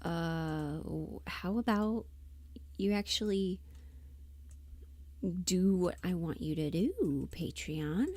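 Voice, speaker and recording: A woman hosts a casual livestream in a relaxed, dark-toned voice, close and dry on the mic. She speaks slowly and haltingly, thinking aloud and muttering to herself. Her manner is self-deprecating and unpolished. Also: on the low side, dry recording. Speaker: livestream host, voice performer.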